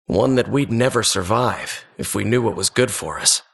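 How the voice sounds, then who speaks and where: A man's voice: fairly low pitch, animated, moderate pace, creaky, fairly bright tone, breathy edge, heavily acted. A man playing an earnest adventurer who narrates childhood dungeon exploits for a video game in a suspenseful, wistful storybook manner. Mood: solemn suspense.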